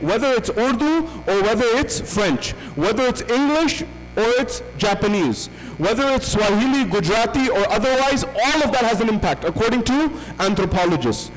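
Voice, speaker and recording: A man's voice: sits high, big-room sound, a little hiss or hum, rough recording. A man giving a religious lecture as a visiting speaker, asking rhetorical questions and repeating phrases for emphasis.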